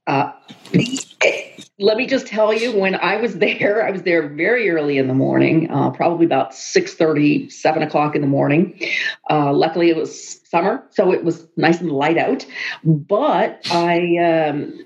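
A hoarse woman, a college professor, talks as a guest and tells stories in a halting way, with fillers and restarts. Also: low voice, a little mellow, dull audio, a little hiss or hum. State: hoarse, losing her voice. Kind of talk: casual interview storytelling.

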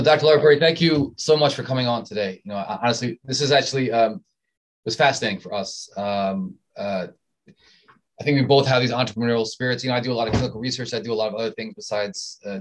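A man from Southern Maryland who co-hosts a medical podcast. He chats casually and often repeats words as he starts sentences. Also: fairly low pitch. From Southern Maryland, USA. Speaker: podcast co-host, physician. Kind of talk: casual interview chat.

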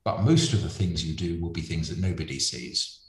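Deep-voiced male preacher, hesitant and thoughtful, echoey room sound. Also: normal speed. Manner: hesitant, many ums and self-restarts, thoughtful. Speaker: friar and preacher. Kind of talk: reflective interview conversation.

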